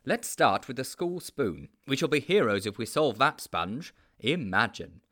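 A man's voice: medium pitch, very animated, measured pace, a bit bright. A man voicing a self-important, adventurous would-be detective in a storybook, explaining things in a pompous, emphatic way. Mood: smug. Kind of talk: storybook character voice.

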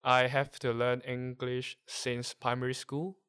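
A man from Hong Kong whose first language is Cantonese, speaking English with a Hong Kong accent as a guest, explaining things haltingly while searching for words. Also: mid-range voice, level tone, a bit bright, clean tone. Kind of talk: casual conversation.

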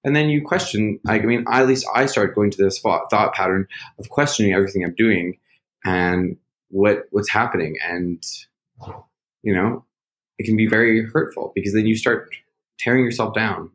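A man's voice: on the low side, moderate pace, poor audio. Rambling, self-searching man in casual chat. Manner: loud at times.

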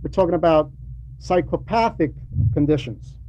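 A man giving a sermon in a halting, explanatory and admonishing way, with conversational asides. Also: a little high, warm tone. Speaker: preacher reading a translated homily. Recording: dull lo-fi recording with faint noise.

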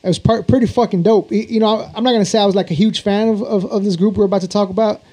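A man's voice: high voice, fairly even intonation, rapid-fire, mellow. A man hosting a casual interview, speaking informally and with slang. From Houston, Texas. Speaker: interview show host.

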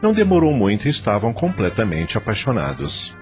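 A man narrating a radio drama with a measured, dramatic delivery. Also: on the low side, wildly swooping pitch, darkish tone, faint music behind.

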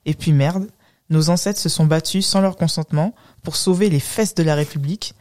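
A woman's voice: sits low, moderate pace, darkish tone. A woman hosting a conversational interview, speaking in a thoughtful, informal and wordy manner. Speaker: podcast host, interviewer.

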